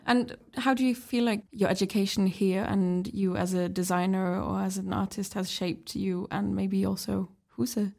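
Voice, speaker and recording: Female co-host, mid-pitched gravelly voice, friendly curious questions. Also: fairly even intonation, normal speed, few pauses, darkish tone. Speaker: podcast co-host. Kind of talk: casual interview chat.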